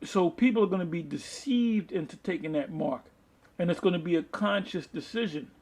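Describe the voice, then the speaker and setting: A man preaching from the Bible, reading verses aloud and explaining them in a halting, deliberate manner, with a husky, gravelly voice. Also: high voice, darkish tone. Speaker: street-style Bible preacher. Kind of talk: Bible teaching with scripture read aloud and commentary.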